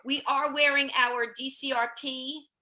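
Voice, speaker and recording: Bubbly woman host on a video call, bright chatty delivery. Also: high voice, flat delivery, a little slow. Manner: bubbly, chatty, laughs easily. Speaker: podcast host. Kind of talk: casual video-call chat show.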